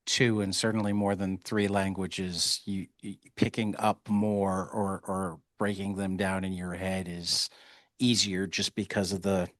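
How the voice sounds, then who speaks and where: A man's voice: deep voice, level tone, a little slow, dry recording, clean studio sound. A man hosting a podcast interview, asking questions in a chatty, curious, digressive way. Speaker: podcast host. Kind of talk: casual interview talk.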